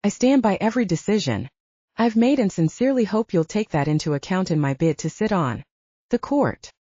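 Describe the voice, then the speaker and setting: A woman reads a haughty male villain in a fantasy audiobook. He is proud and scheming, with a mellow tone and shifting, animated pitch. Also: brisk, no room sound, muffled recording, natural voice. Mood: haughty, menacing.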